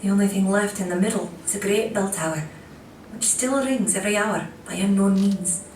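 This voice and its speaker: A woman's voice: mid-range voice, moderate pace, a little mellow, a little hiss or hum, close to an everyday voice. Solemn, echoey woman as storyteller. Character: solemn female storyteller of a royal legend. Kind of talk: scripted storytelling in audio drama. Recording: echoey distant playback with music.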